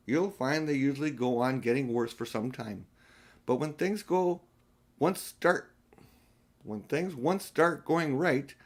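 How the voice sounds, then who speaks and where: An older man narrating a children's storybook as an audiobook reader, occasionally stumbling and restarting a phrase. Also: medium pitch. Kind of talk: storybook narration read aloud, with small slips and restarts.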